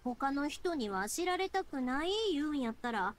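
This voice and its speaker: A woman's voice: high voice, animated, moderate pace. A woman voicing a kind young big-sister type in an anime game, friendly and reassuring, with a Kansai accent.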